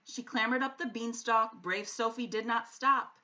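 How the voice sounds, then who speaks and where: A woman reading a rhyming children's story aloud like a teacher, with an expressive, sing-song rhythm. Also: fairly high pitch, moderate pace. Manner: animated, sing-song rhythm following the rhyme.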